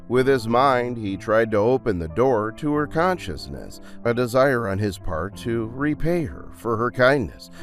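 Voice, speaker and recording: A man narrating a dramatised storybook adventure in a theatrical, dramatic way. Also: medium pitch.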